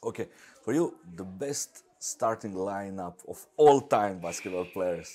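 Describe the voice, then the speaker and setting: A man's voice: low voice, lively intonation, measured pace, darkish tone, slightly rough. A man whose first language is Croatian hosts a podcast, asking friendly questions in English and laughing along. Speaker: podcast host. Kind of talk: casual interview questions.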